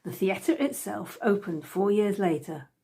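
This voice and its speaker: A woman reads prose aloud as an author would from their own book. Her delivery is measured and story-like, with pitch that moves in a lively way. The voice has a husky, less polished grain at a medium height. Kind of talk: scripted narration, reading aloud.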